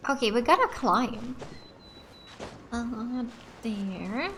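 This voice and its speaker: High-voiced woman commentating gameplay. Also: lively intonation, drawn-out words, noisy background. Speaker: let's play streamer. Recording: music behind the voice. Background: game music.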